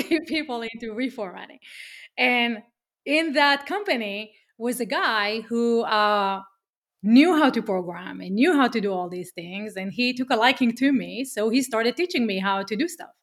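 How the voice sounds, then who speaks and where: A woman with an Israeli accent, a podcast guest talking business in a lively, emphatic way, often tagging lines with "right?". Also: fairly high pitch, normal speed. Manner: energetic, emphatic, keeps asking "right?", swears casually. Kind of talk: casual interview chat.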